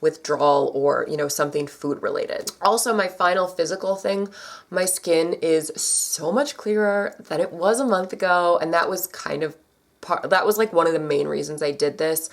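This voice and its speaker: A young woman vlogger chatting casually to her camera, her voice a little scratchy from allergies, with lots of fillers and sudden jumps in pitch. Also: moderate pace, vocal fry.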